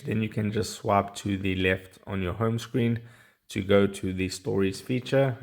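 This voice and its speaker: Low, creaky male tutorial presenter. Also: darkish tone. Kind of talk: casual step-by-step how-to narration.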